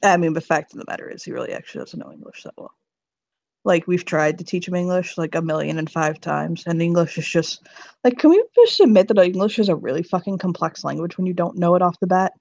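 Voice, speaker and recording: A woman's voice: lowish voice, expressive, fast, gravelly, warm tone, no room sound. A woman narrating her character in casual tabletop roleplay, rambling, swearing freely and laughing often. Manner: profane, giggly, rambling.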